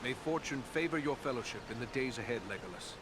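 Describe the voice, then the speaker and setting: An actor playing an earnest human ranger in a fantasy game, speaking formally and steadily with polite courtesy. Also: male voice, mid-range voice, animated, fast talker, close to an everyday voice. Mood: gracious. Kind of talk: fantasy video game character acting. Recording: clear background ambient noise.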